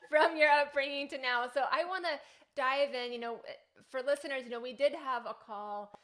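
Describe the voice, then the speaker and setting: A woman's voice: sits high, normal speed, a bit bright. A woman hosting an interview podcast, introducing her guest and asking questions in an enthusiastic way. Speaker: podcast host.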